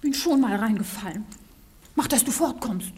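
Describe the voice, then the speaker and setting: An actress playing a saucy, shrewd young maid in a radio comedy, teasing and pert. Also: female voice, a little high, lively intonation, normal speed, dark tone, breathy edge. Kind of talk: stage comedy as radio play.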